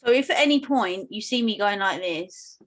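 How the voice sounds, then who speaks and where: A woman's voice: medium pitch, expressive, moderate pace. A tired woman, chatting in a colloquial, wry way as a podcast co-host. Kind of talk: casual chat.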